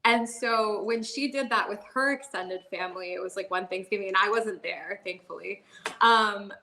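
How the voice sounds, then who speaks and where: Woman in her thirties, bright voice, casual candid chat, lots of fillers, laughs easily. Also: mid-range voice, moderate pace, a little hiss or hum, poor audio. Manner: chatty, many "like" and "you know" fillers, laughs often. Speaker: podcast guest. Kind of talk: candid conversational storytelling.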